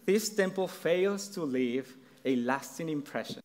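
A haughty older baby boomer, put on by a man with a Mexican accent, sniffs at everything in a formal, lifted voice. The words ring out with a long echo, as in a big hall. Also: lively intonation, unhurried, a little mellow. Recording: long reverb in a large hall.

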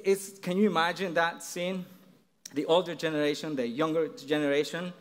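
A man with a Mexican accent preaching a sermon in an earnest, halting way, often restarting his phrases. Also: fairly high pitch. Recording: long reverb in a large hall.

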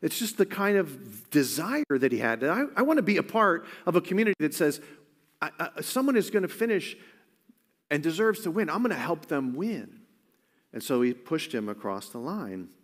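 A man's voice: a little high, very animated, normal speed, in a large hall. A man preaching a sermon in an earnest, conversational way, often restarting his phrases. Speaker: pastor.

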